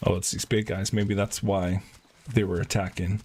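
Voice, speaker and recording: A man's voice: sits low, flat delivery, moderate pace, darkish tone, a little hiss or hum. A man giving casual gameplay commentary as a streamer, thinking aloud in an informal way. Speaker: game streamer.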